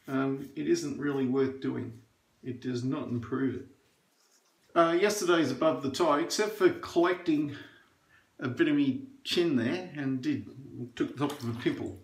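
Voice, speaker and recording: A man's voice: fairly high pitch, a bit bright. A middle-aged man chatting casually while he shaves, opinionated and rambling with plenty of fillers. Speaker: shaving reviewer. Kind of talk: casual chat while shaving. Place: small bathroom indoors. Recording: echoey bathroom.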